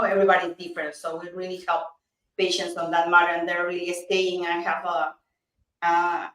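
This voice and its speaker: A dentist in her thirties from Peru with a Peruvian Spanish accent, chatting earnestly in an interview, with many restarts. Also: on the low side. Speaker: dentist, guest. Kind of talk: casual interview chat. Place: small office, indoors. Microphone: video call webcam. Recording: roomy, distant video-call audio.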